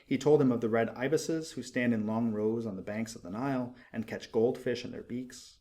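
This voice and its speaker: A male audiobook narrator with a mid-pitched voice reads a literary tale. His delivery is expressive yet measured, with each phrase set out deliberately.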